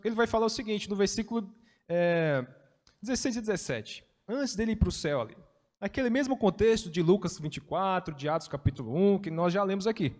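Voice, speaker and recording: A man's voice: high-pitched, darkish tone, big-room sound. A man teaching a Bible study in a chatty way, explaining with everyday examples.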